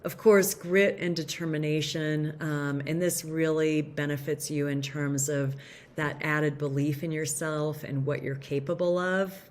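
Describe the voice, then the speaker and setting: A woman with a notably low voice speaks on a video call. She sounds upbeat as she presents a teen summer program, and she often stops on an "um". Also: steady tone, a little mellow, a little hiss or hum. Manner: upbeat, with frequent "um" pauses. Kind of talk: virtual fair presentation.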